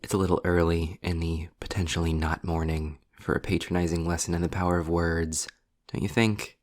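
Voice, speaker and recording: A man playing a sardonic, world-weary demon in an intimate roleplay audio drama, deliberate and breathy, often dropping toward a whisper. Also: sits low, little pitch movement, a little mellow, close to an everyday voice. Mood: dry, irritated. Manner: sarcastic, deliberate, sighing.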